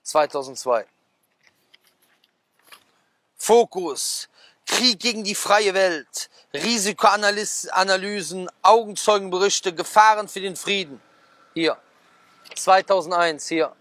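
A man in his thirties, a preacher, sitting at a garden table outside, reading out headlines and breaking into animated asides with questions to his listeners. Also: sits high. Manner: animated, gesturing, quick asides with rhetorical questions. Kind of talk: casual talk, reading out magazine headlines. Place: outdoors at a garden table, sunny.

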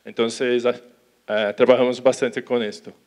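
A young man from Brazil with a Portuguese accent, giving a conference talk in halting Spanish on an auditorium stage, talking slowly with restarts. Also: medium pitch, flat delivery, a little mellow. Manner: slow, careful, with restarts and Portuguese words. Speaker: conference speaker. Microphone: handheld mic.

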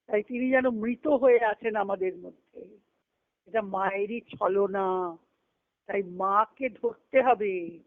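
Over a thin, phone-like connection with very little room sound, a woman gives a devotional discourse. She speaks slowly and reflectively, explaining scripture as a spiritual teacher and answering her own questions. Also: medium pitch, no room sound. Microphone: phone or conference call. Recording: narrow, tinny phone conference line.

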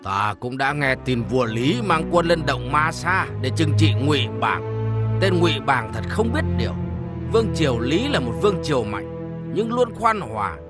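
A man playing a sober, disapproving village chief in a historical cartoon, explaining things deliberately. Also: a little high, big pitch swings, over loud music. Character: sober male village chief, judging a rebel.

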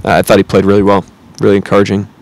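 Low, flat-voiced coach at the mic. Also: male voice, creaky, poor audio. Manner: measured, analytical, frequent "you know" and "um". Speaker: head coach. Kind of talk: post-game press conference answers. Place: press conference room. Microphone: table mic close in front.

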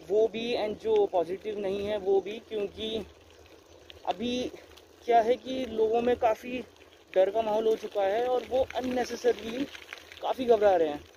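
Young man vlogging from his bike outdoors. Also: sits high. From India. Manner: friendly, earnest advice to viewers. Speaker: cycling vlogger. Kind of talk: casual vlog talk to camera while riding. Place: outdoors by an empty stadium, riding a bicycle. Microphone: handlebar-mounted camera mic. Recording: outdoor camera audio with loud ambient noise.

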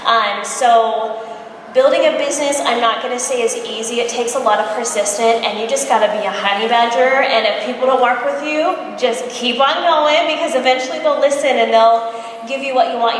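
An American woman giving an informal, chatty conference presentation with lively anecdotes, speaking into a handheld mic on stage. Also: a little high, a little fast. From Michigan, United States. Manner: chatty, animated storytelling with acted-out quotes. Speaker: entrepreneur speaker, cosmetics brand founder. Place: large indoor expo conference room, on stage. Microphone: handheld microphone through PA, recorded from audience. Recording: very echoey hall, faint background noise.

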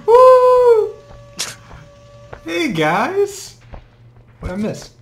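A man's voice: very high voice, huge pitch swings, very slow, a little hiss or hum. A man chatting casually and playfully while gaming with a group, often exclaiming. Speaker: gamer in a group let's play. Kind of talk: casual chat while playing a video game.

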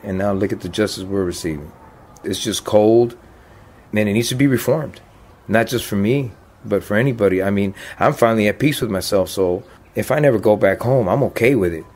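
A man's voice: on the low side, a little fast, a little mellow. A man in his fifties, a deported veteran, speaking plainly and earnestly about his life in a documentary interview. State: choked up.

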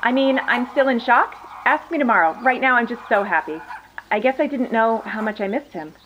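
An actress playing a delighted, emotional pet owner, gushing informally in an audio drama news clip. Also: female voice, a little high, animated, fairly quick, lightly acted. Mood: overjoyed. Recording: muffled, noisy field interview.

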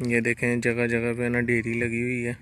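A man's voice: mid-range voice, monotone, normal speed, background noise. A man with a Pakistani Punjabi accent narrating a casual vlog outdoors on a farm field and chatting with a farmer. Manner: chatty, friendly questioning. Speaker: vlogger.

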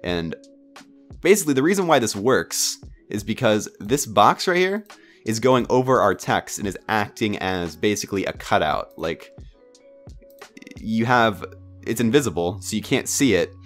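Stuffy-nosed young man, deep voice. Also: normal speed, faint music behind. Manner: relaxed, rambling, many ums and uhs. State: stuffy, nasal from a cold. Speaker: tutorial host. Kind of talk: casual screen-recorded software tutorial.

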